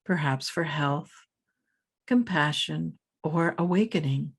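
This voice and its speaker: A woman meditation teacher leading a scripted guided meditation in a calm, soothing, measured manner with pauses between phrases. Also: low-pitched, breathy edge.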